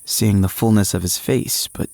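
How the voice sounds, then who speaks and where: An actor playing an eager, frazzled young male athlete, narrating his own story in an audio drama with expressive, at times hesitant delivery. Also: fairly low pitch, normal speed, creaky, no echo. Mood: curious, awkward. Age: young adult. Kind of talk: first-person audio drama narration and dialogue.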